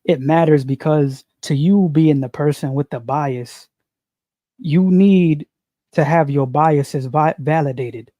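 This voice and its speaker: Mellow-voiced man preaching slowly. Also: on the high side, no room sound, dull audio. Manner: slow, preachy, repeats phrases, frequent "you see". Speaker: spiritual commentator. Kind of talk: unscripted monologue on philosophy.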